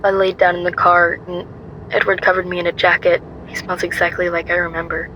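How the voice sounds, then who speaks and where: A woman's voice: on the low side, completely flat delivery, brisk, muffled recording, a little hiss or hum, close to an everyday voice. A woman playing a frightened but determined young woman on the run in a first-person radio drama. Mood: reflective.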